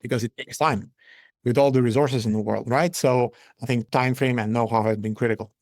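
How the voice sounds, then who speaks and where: Guy in his 40s explaining his business, calm and steady, dry close sound. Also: medium pitch, no room sound. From Lithuania. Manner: measured, matter-of-fact explaining. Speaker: company founder and CEO, guest. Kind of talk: business interview answers.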